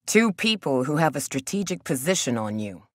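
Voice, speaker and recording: A woman's voice: deep voice, big pitch swings, moderate pace, no room sound. A woman voice actor playing a guarded, blunt, protective young survivor of a zombie apocalypse in a video game, with a dry delivery. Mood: guarded, wary.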